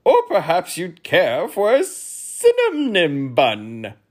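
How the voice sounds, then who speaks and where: A man's voice: high-pitched, huge pitch swings, slow pace, voice pushed up, heavily acted. A man voicing fussy, nagging storybook noblemen in an exclamatory manner. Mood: eager, offering.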